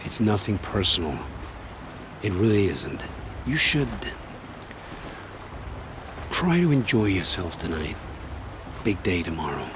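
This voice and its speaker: A gruff, evasive scout. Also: male voice, low-pitched, very animated, slow pace, long pauses, heavily acted. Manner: gruff, dodging and dismissive. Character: guarded, evasive male scout, weary and curt. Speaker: outlander scout. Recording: game audio with ambient noise.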